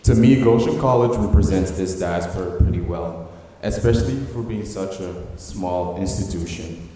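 A man with a very low, dark voice introduces speakers in an earnest, slightly halting way. He is from Sierra Leone and was raised in Belgium. His voice booms with a long reverb through a large hall. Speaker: event emcee. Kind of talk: formal introductory speech.

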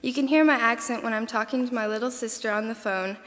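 A young woman from Tennessee with a softened Southern accent, reading a prepared personal essay as a student speaker. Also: fairly high pitch, fairly even intonation, fast. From Eastern Tennessee. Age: young adult. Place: campus convocation hall. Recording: reverberant hall.

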